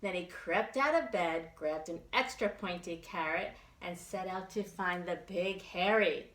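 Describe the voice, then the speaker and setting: Slow, bright-voiced woman hosting children's storytime. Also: fairly low pitch. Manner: expressive, simple phrasing for small children. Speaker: children's librarian and storytime host. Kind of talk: children's storytime reading and rhymes. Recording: echoey room.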